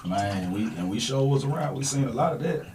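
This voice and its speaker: A man's voice: mid-range voice, animated, a little fast, a crowd in the background. A man with a Southern US accent hosting a podcast, chatting informally with friends and asking questions in slangy speech. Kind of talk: casual chat among friends.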